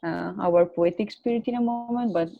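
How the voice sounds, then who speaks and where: A woman's voice: fairly low pitch, normal speed, creaky, dry recording, soft background music. Italian-accented woman on a muffled call. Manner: thoughtful, searching for words, frequent uh. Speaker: panelist. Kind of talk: reflective panel talk on a video call. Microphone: video call. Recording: dull lo-fi call audio.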